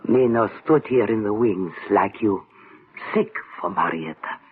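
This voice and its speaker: Low, husky old theater dresser. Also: male voice, unhurried. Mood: knowing, mournful. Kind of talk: old-time radio drama acting. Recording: muffled old radio, faint music.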